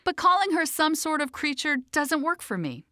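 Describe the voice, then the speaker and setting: A woman's voice: sits high, big pitch swings, normal speed, sharp tone, no echo, studio quality. A woman narrating her own self-help audiobook as a coach, in a sassy, emphatic, conversational way.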